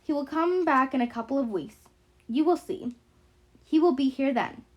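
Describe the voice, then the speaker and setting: A woman voicing a jolly, tipsy, toothless old French woman for an audiobook, with a squeaky voice and effusive, broken English. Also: expressive, normal speed. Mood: welcoming. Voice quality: high-pitched, squeaky, squealing. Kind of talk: audiobook character voice.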